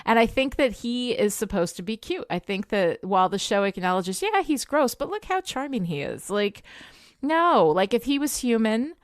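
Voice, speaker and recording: A woman's voice: on the high side, sing-song, normal speed, no echo. Blunt woman podcaster, dry close sound. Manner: emphatic, blunt, sweary. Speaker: podcast co-host, TV show critic. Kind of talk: casual opinionated podcast discussion.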